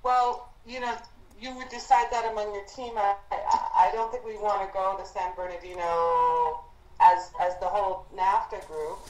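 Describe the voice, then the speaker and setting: Woman, professor type running an informal group call; halting, thoughtful delivery, mid pitch, echoey line. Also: fairly even intonation, a little mellow. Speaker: professor leading a student team conference call. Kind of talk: informal meeting discussion. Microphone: conference call.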